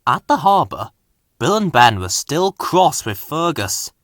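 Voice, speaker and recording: Male children's story narrator, expressive and dramatic, forceful bright tone with big pitch movement. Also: unhurried, dry recording, clean studio sound. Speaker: storybook reader.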